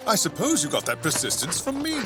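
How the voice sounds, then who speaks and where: Stern, swooping cartoon dad. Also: male voice, high voice, wildly swooping pitch, brisk. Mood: firm, discouraging. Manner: firm, scolding. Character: stern, exasperated father running a horse farm. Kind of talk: animated cartoon dub acting. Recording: music bed behind the voice.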